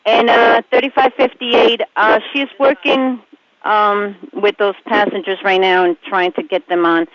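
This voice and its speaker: An airport ramp controller speaking over the radio to a pilot, calm and businesslike. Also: mid-range voice, measured pace. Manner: calm, businesslike, matter-of-fact. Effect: aviation radio transmission. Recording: muffled radio with clear static.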